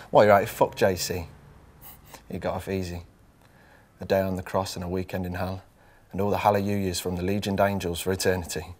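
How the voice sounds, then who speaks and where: A man's voice: sits low, darkish tone. A man acting an embittered, hate-filled man in a relentless, controlled, venomous film monologue. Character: embittered, hate-filled man cursing his whole city.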